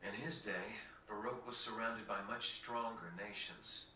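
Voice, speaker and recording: A man playing a solemn young prince in a video game cutscene, speaking in a formal, measured, earnest way. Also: lowish voice, steady tone, sharp tone. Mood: solemn, reflective. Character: solemn, gentle young prince burdened by royal legacy. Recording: distant, echoey, faint background noise.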